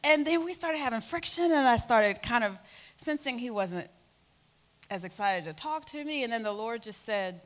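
A woman's voice: a little high, expressive, moderate pace. A woman in her forties hosting a faith talk show, telling family stories earnestly and animatedly, with frequent fillers. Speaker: host.

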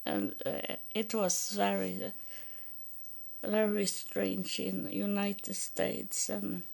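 A woman with a Swedish accent tells her story in English, slowly and haltingly, stopping often to search for words. Her voice has a gravelly, creaky edge at the end of phrases. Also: mid-range voice, flat delivery, a little mellow. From Sweden. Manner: halting, many fillers and restarts. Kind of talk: personal testimony.

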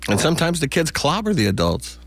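A man's voice: a little high, huge pitch swings, quick pace, vocal fry. A middle-aged or older man giving casual, anecdotal answers as a theater director being interviewed.

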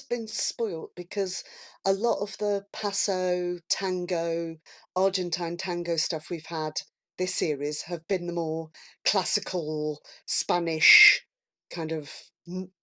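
A woman on a podcast panel, chatting casually in a measured, somewhat hesitant manner. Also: lowish voice, sharp tone.

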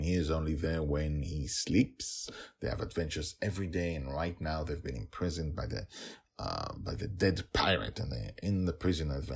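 A man hosting and narrating a story podcast, moving between conversational talk and scripted reading with deliberate, drawn-out announcements. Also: low voice, vocal fry. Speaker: podcast host and author-narrator.

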